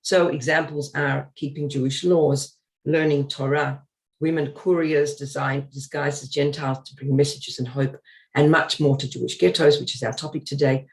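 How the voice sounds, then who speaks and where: A man with a fairly high voice gives an earnest community lecture. He reads parts of it from his notes and fills the gaps with ums and uhs. He explains things in a plain, steady way. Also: moderate pace, gravelly, poor audio. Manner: hesitant, frequent ums and uhs. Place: synagogue talk.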